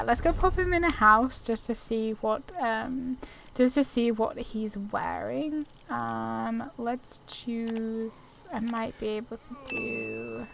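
Slow, higher-voiced woman commentating. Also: gravelly, a bit bright, a little hiss or hum. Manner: easygoing, rambling, thinking aloud. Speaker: video game player and commentator. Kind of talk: casual gameplay commentary.

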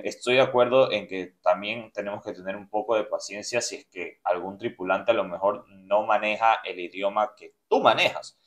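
A man in his thirties hosting a cruise news show, reading headlines and then commenting earnestly with many fillers and restarts, in a small indoor room. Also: fairly low pitch. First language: Spanish. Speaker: cruise news host and travel agent. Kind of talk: news roundup with personal commentary. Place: small indoor room, plain beige wall.

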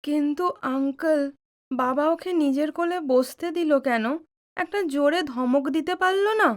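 A woman's voice: high-pitched, moderate pace, natural voice. A woman playing a worried, questioning young daughter in an audio drama.

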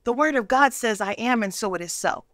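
A middle-aged American woman with a voice on the higher side speaks as an interview guest. She tells personal stories with emphasis, voicing both sides of conversations. The studio sound is close and dry. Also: animated, fairly quick, fairly bright tone, no room sound. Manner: emphatic, dramatizes quoted dialogue. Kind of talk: animated personal storytelling in a TV interview. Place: indoor TV studio.

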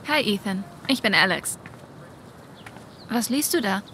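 A woman's voice: medium pitch, animated, a little slow, bright, forceful tone, slight background noise. Wry young woman in a story game. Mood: friendly, warm. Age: young adult. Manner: dry, casual. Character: wry, friendly young woman, long separated from her brother. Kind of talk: naturalistic video game dialogue and inner monologue.

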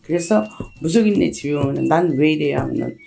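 Low, gravelly, hoarse middle-aged woman. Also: animated, quick pace, over music. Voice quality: husky, gravelly. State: hoarse from a cold. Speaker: shaman fortune teller. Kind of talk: interview answers.

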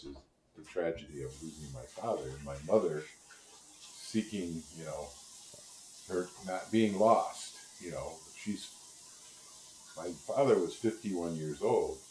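A man's voice: sits low, measured pace, darkish tone, reverberant, a little hiss or hum, low-quality recording. An older man conducting an oral history interview, speaking reflectively, seated in a living room. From Netherlands and United States.

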